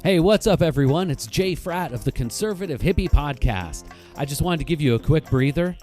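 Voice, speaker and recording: A male podcast host gives a casual promotional break. His voice sits a little high but has a dark, relaxed tone. Guitar music plays softly behind him. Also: a little fast. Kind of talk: casual promotional intermission announcement.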